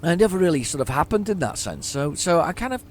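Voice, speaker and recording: A hoarse man giving casual, rambling commentary while playing a driving game at home, often stammering and repeating words as he chats to himself. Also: a little high, sing-song, quick pace, a little hiss or hum. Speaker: gaming YouTuber.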